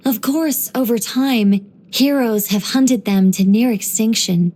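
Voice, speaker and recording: A woman voicing an ancient angel in a video game, dignified yet whimsical and chatty, with a measured, gently playful delivery. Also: fairly high pitch. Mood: wistful, serene. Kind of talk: video game character voice lines.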